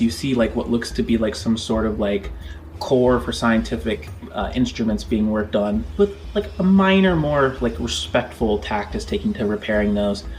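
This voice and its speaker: A man narrating a tabletop game as game master, describing scenes in halting, improvised phrases. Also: on the low side, slight background noise. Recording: background music under the voice. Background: music.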